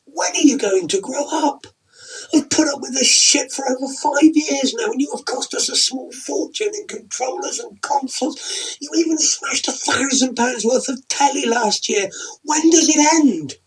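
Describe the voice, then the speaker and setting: Man doing a tearful wife, high strained voice, husky, pleading, storybook reading. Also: normal speed, heavily acted, low-quality recording. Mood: exasperated. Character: distraught tearful woman, the wife.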